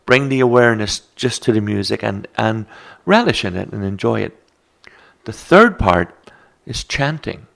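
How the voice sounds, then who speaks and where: An Irish man who teaches meditation, explaining mindfulness in a calm, gently instructive conversational way. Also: fairly low pitch, normal speed, creaky. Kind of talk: reflective conversation.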